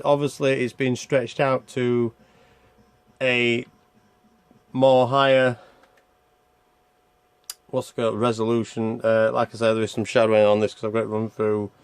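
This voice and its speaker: A man's voice: mid-range voice, smooth, no echo. A man giving casual, rambling gameplay commentary, thinking aloud as he plays and reviews a video game.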